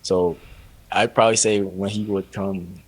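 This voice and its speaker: A man's voice: sits low, steady tone, measured pace, slightly rough. A man from Haiti, a DJ guest, talking in an easygoing way with short answers and lots of "you know" and "man". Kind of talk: casual interview chat.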